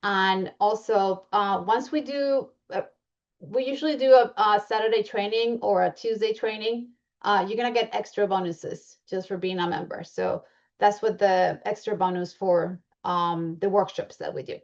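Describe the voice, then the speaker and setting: A woman's voice: mid-range voice, fairly even intonation, moderate pace, muffled recording. A woman with a Colombian accent presenting membership benefits in a chatty way, with many fillers and restarts. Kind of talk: presentation walking through slides.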